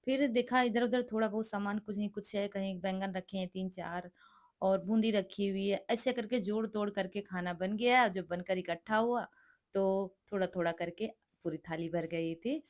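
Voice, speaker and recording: A middle-aged woman with a hoarse, cracked voice from a sore throat, chatting through a home cooking vlog and explaining each step. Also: medium pitch, steady tone, normal speed, darkish tone. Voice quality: cracked, rough voice. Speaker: vlogger cooking for guests. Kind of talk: casual cooking vlog narration.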